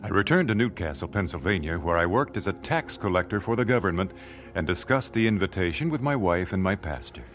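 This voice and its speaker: Reserved american gentleman narrating his memories, radio drama, very expressive, noisy old recording. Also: low-pitched. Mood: reflective. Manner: measured, reflective, well-spoken. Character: thoughtful thirty-year-old singer recalling his life, genteel and reserved.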